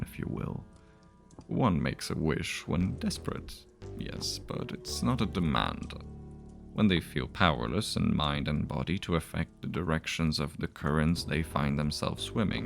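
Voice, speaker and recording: A man acts out a sly otherworldly bargainer in a dark fantasy story. He reasons patiently and teasingly, with lively, swooping pitch over a low, gravelly base. Soft music plays behind. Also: heavily acted. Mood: lecturing. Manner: deliberate, philosophical, teasing.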